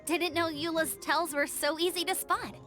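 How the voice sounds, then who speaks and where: An actress playing an upbeat, caring young woman scout who talks eagerly and warmly to a friend. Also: very high voice, fairly even intonation, normal speed. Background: game music.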